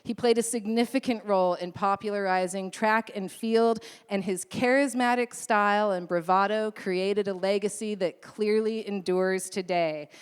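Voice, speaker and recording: A woman's voice rings through a hall with long reverb. She is strikingly clear and smooth, with a mid-range pitch, delivering a prepared introduction as an event presenter. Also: steady tone, normal speed, few pauses.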